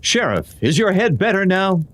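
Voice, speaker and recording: A man playing an earnest, courteous masked lawman in an old-time western radio drama, speaking in deliberate, declarative lines. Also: sits high, very animated, a bit bright, heavily acted. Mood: grave, resolute.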